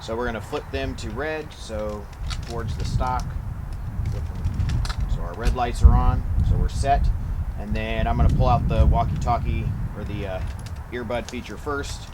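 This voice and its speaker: Plainspoken man with dark, low-key voice outdoors. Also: fairly even intonation, moderate pace. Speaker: toy blaster reviewer. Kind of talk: casual product review and testing. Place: outdoors in a yard by a pond. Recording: faint outdoor background noise.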